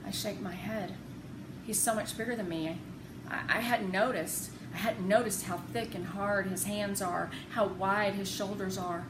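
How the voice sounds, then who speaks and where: Slow-paced woman narrator in a roomy recording. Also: mid-range voice, fairly even intonation. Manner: deliberate, dramatic phrasing. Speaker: read-aloud narrator. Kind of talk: expressive children's novel narration. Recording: roomy, noisy home recording.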